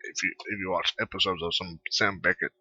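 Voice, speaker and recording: A man doing casual video game commentary in a husky voice, halting and rambling as he goes. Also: low voice, steady tone, sharp tone, dry recording, lo-fi sound. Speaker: gameplay commentator.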